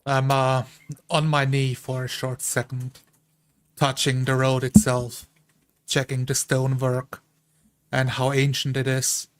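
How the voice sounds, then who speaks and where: A brave, dependable dwarf traveller, played by a man in a fantasy tabletop game. He speaks in a flat, mid-pitched voice, thoughtful and measured, with halting pauses. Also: a little hiss or hum, close to an everyday voice.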